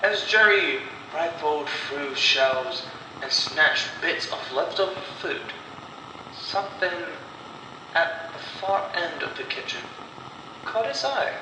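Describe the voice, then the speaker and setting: A man storyteller narrating a scripted horror story in a deliberate way, with dramatic pauses. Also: on the high side, lively intonation. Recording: echoey, distant voice with clear noise.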